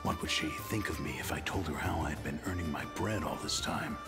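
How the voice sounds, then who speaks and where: An actor playing a world-weary, guarded aging dwarf assassin in a video game, gruff and measured, with a hidden tender side. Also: male voice, very deep voice. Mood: weary, regretful. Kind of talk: scripted video game dialogue acting. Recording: game music behind the voice.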